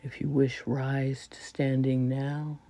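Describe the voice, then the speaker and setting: A woman leading a guided meditation in a soft, breathy voice that often falls toward a whisper, speaking reverently in short, measured phrases. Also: very deep voice, flat delivery, soft background music. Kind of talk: slow spoken guided meditation.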